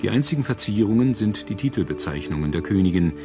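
A man reading scripted documentary narration in a measured, formal manner. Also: lowish voice, lively intonation. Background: background music.

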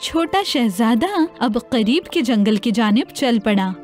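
A woman narrating a scripted fairy tale for children in an expressive, dramatized way. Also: on the high side, faint music behind. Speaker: storyteller.

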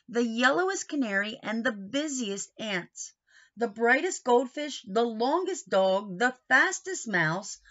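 A middle-aged woman reading a children's picture book aloud expressively, pausing for questioning asides to young listeners. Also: mid-range voice, fairly bright tone, highs cut off. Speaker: storybook reader. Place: small wood-panelled room indoors.

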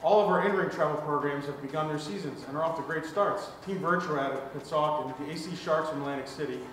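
A man presenting a store promo in a slightly high voice, sounding off-mic and set back from the camera. The room rings with heavy echo and a long tail. Soft music plays underneath. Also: fast. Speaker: host. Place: hockey gear store, indoors. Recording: echoey room from far off.

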